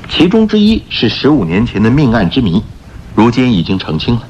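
An actor playing a confident, playful gentleman-thief detective in a radio drama, explaining his deductions theatrically. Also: medium pitch, wildly swooping pitch, brisk, slight background noise. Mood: courteous, revealing. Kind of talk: Mandarin radio drama acting. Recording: dull radio recording with background music.

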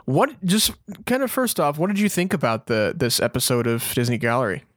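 A man's voice: medium pitch, fairly quick. A man co-hosting a podcast and chatting casually. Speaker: podcast co-host. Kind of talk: casual chat about Star Wars news.

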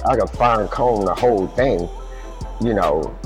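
A man speaks as a guest singer on a casual talk show, telling his story in a relaxed, easygoing way. His voice sits fairly low, and faint music plays behind him. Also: unhurried. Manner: relaxed, laid-back storytelling. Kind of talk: casual interview.

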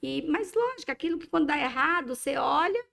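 A woman's voice: high voice, lively intonation, fast talker, gravelly, fairly bright tone. A woman dermatologist with a mixed Brazilian Portuguese accent, chatting on a talk show and often hesitating with fillers. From Minas Gerais, Brazil. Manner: hesitant, many fillers and restarts. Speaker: dermatologist guest. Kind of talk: casual talk-show chat.

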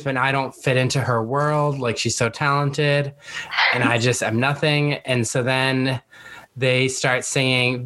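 A man with a middling pitch chats as a podcast co-host. He retells the story of a show informally, as if among friends. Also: a bit bright. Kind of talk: casual chat recapping a TV show.

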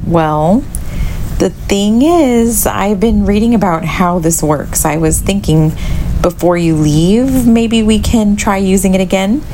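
A woman's voice: mid-range voice, slow pace, lightly acted. A woman acting a defensive, uneasy mother in an amateur radio play. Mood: insistent. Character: defensive, uneasy mother obsessed with spirit board. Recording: rain and ambience noise behind.

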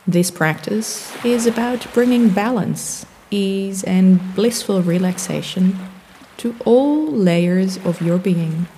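Very slow, mellow woman guiding meditation. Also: mid-range voice, a little hiss or hum. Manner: calm, gentle, soothing, measured. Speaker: meditation guide. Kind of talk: guided meditation, scripted soothing instruction. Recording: long reverb, background wash of waves. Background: relaxing ocean waves.

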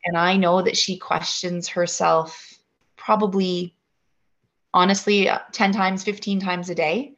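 Woman co-host, low voice, dull lo-fi recording; thoughtful questions. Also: little pitch movement, measured pace, a bit bright. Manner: thoughtful, searching for words. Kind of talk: casual interview questions. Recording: dull lo-fi sound.

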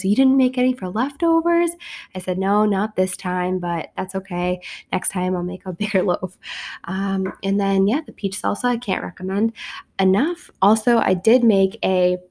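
A woman's raspy, gravelly voice, worn down by illness. She hosts her podcast in an easy, upbeat chat, rambling along with fillers and restarts at a middling pitch. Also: normal speed, a little mellow. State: sick, resting her voice. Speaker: podcast host. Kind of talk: casual chat.

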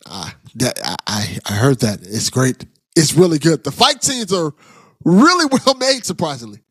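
High-voiced man hosting a casual podcast. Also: big pitch swings, normal speed, a bit bright, slightly rough, no echo, clean studio sound. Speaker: podcast host. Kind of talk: casual interview chat.

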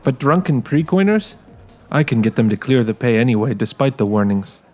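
A man's voice: medium pitch, expressive, brisk, darkish tone, faint music behind. A man narrating first-person audio fiction in character as a wary, streetwise ex-con. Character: wary, streetwise male ex-con in a tech dystopia. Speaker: narrator of a dramatized story, in character.

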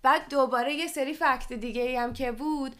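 A woman's voice: sits high, fairly even intonation. A woman with an Iranian Persian accent that mixes in English words, co-hosting a conversational podcast in an explanatory, questioning way. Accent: Iranian Persian with English loanwords. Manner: explanatory, poses questions to co-host. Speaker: podcast co-host. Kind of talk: conversational podcast discussion, presenting survey figures.

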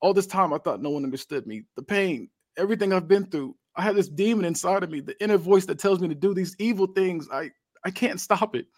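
A man's voice: mid-range voice, animated, fairly quick, no room sound, natural voice. A cocky, sadistic wrestling villain, acted out by a man. He delivers his promo theatrically, with a taunting, domineering manner. The sound is close and dry. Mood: tearful false remorse.

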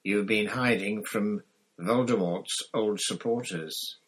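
A man's voice: fairly low pitch, takes it slowly, slightly rough, poor audio. A man reading an audiobook, voicing a bitter escaped prisoner who hisses venomous accusations. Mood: accusing. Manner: venomous, hissing, growling accusations.

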